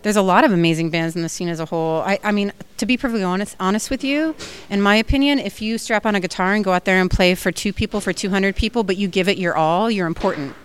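A woman's voice: mid-range voice, fast talker, a little hiss or hum. A woman film director answering interview questions in an earnest, enthusiastic way, with frequent fillers.

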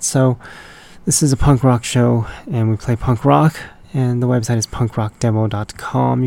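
A man presents a radio show in a casual, unhurried manner. His voice is raspy and stuffed up from a cold, with a gravelly creak and a dark, relaxed tone that suits his rambling DJ chat. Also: mid-range voice. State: congested from a lingering cold. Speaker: punk rock radio show host.

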